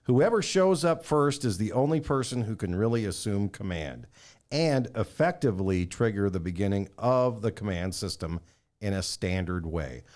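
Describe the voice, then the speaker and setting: Low-pitched male podcast host. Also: darkish tone. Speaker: podcast host and former firefighter. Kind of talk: conversational podcast hosting.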